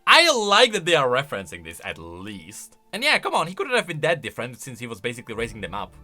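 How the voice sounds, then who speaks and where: A man's voice: mid-range voice, wildly swooping pitch, quick pace, sharp tone, soft background music. A man giving casual, exclamatory reaction commentary, thinking aloud as a video creator.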